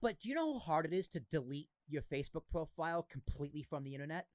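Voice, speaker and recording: A man's voice: on the high side, no echo, studio quality. A man hosting a podcast, chatting casually and animatedly. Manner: animated, quick talker. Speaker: podcast host. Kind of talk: casual chat.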